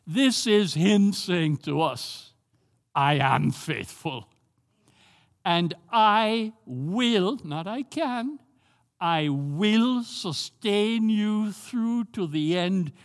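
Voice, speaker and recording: An older man with a Jamaican accent preaching a sermon as pastor, earnest and emphatic, slowing down to stress key phrases. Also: high-pitched, in a large hall.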